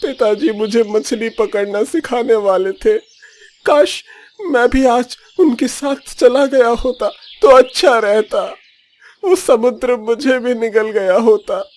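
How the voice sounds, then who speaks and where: A man's voice: extremely high pitch, slow, darkish tone. A man voicing a grieving fisherman's son. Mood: distraught. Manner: emotional, calls out, pleading. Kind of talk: cartoon story voice acting.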